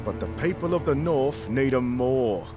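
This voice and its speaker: A man's voice: mid-range voice, lively intonation, close to an everyday voice. A man playing a weary, defiant outlaw in a video game, speaking in a folksy, rustic way for the common people. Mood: earnest, defiant. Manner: slow, folksy, rustic speech. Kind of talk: video game cutscene acting. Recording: muffled audio under music.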